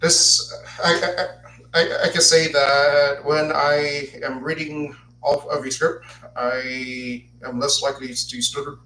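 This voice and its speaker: Guy in his 20s who stutters a lot, kinda high flat voice, sounds far off in an echoey room with faint hiss. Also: takes it slowly. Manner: stutters, repeats words and restarts. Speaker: podcast host. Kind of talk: solo podcast talk, partly read aloud.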